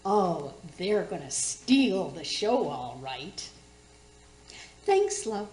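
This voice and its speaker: A woman's voice: lowish voice, sing-song, drawn-out words, a little mellow, exaggerated voice. A woman playing a nosy, sly older neighbour in a comic radio play, with a folksy, gushing, sing-song manner. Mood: scheming. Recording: roomy, echoing recording.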